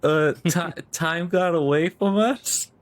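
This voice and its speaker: Slow-talking, higher-voiced male player. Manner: playful, laughing, rambling. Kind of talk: casual tabletop role-play.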